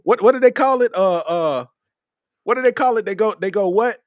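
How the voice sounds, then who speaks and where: A man from Kentucky hosts a comedy podcast in a loose, easygoing way. His voice sits high for a man. The sound is dry and close, with no room echo. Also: animated, a little fast. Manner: laid-back, repeats "you know what I'm saying". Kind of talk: casual comedic podcast chat.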